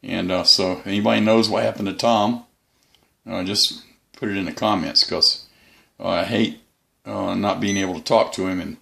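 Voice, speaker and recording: A man giving a casual, unscripted hobby review as the channel's host, in a halting, rambling way. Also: low-pitched, steady tone, vocal fry, pushed and bright.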